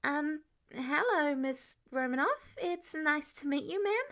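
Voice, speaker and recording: A woman's voice: high-pitched, animated, slow, fairly bright tone, pitched above the performer's own voice, exaggerated voice. A woman voicing an anxious, flustered teenage boy intern in a story reading. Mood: nervous, polite. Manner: nervous, exasperated.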